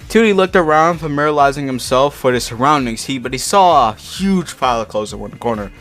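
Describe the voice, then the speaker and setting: A man's voice: medium pitch, expressive, brisk, a bit bright. A man casually reading a story aloud, stumbling over the text and breaking off for mocking, sweary asides. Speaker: amateur fan fiction reader. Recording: music playing behind the voice. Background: background music.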